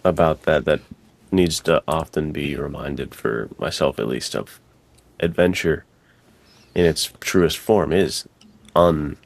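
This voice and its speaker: A man's voice: a bass voice, takes it slowly, gravelly. An American man chatting casually as a podcast co-host, slangy and reflective. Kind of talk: casual chat.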